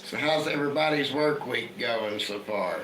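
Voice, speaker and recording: A man, hoarse from a cold, chatting casually with viewers on his livestream. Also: medium pitch, normal speed. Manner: relaxed, chatty, greets viewers by name. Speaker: livestream host. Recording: echoey room with faint background noise.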